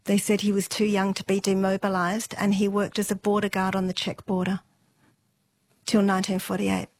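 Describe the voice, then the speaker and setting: A middle-aged woman, telling stories conversationally and matter-of-factly, with thoughtful pauses. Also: mid-range voice, monotone, a little mellow, poor audio. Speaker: interview guest. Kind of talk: conversational family storytelling.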